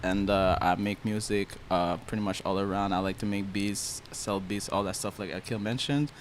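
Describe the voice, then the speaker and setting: Young man from Haiti, low-ish voice, easygoing slangy podcast guest, faint hiss behind. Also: normal speed. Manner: relaxed storytelling with slang. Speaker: guest musician. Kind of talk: casual interview chat.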